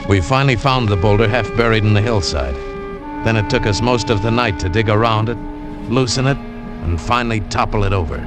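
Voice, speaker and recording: A man acting as a vintage radio adventure hero with a low voice, earnest and determined. He tells his story in measured, dramatic phrases, with music clearly behind him on an old broadcast recording. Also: close to an everyday voice. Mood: tense narrating.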